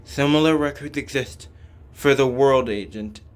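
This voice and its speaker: A man's voice: medium pitch, a little slow. A man reading translated game dialogue and narration aloud as a scripted playthrough. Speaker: reader voicing a game playthrough.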